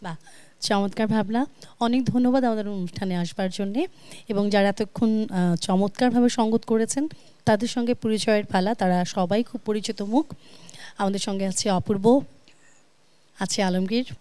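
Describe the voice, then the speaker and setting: A woman's voice: mid-range voice, dark tone, no room sound. A woman with a Bangladeshi accent hosting a music talk show as its television presenter, recorded in a studio. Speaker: television host.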